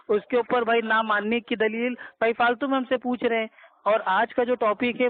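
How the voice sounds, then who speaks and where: A man in an argumentative phone debate, speaking insistently and appealing to a moderator. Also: sits high. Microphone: telephone. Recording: tinny narrowband conference phone call.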